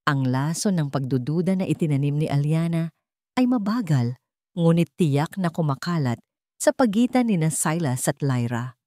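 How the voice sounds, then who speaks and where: Low-voiced woman narrating a dramatic story. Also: darkish tone, no room sound, clean studio sound.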